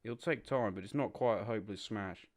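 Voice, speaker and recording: A man playing a practical, exasperated water rat in an audio drama, brisk and matter-of-fact. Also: fairly low pitch, close to an everyday voice. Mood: firm, bossy. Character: practical, exasperated male water rat, bossy old friend.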